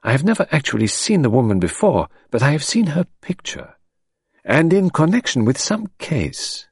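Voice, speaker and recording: A man acts out a middle-aged detective from a storybook. His pitch rises and dips freely as he speaks, gently ironical and emphatic, always courteous and shrewd. The sound is close and dry. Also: big pitch swings, moderate pace, slightly rough, no room sound, full character voice. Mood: emphatic, confiding. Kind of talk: audiobook character voice.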